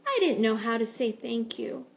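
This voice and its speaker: A woman reading a picture book aloud like a teacher, with expressive, measured storytelling. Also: a little high, highs cut off. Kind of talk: storybook read-aloud narration.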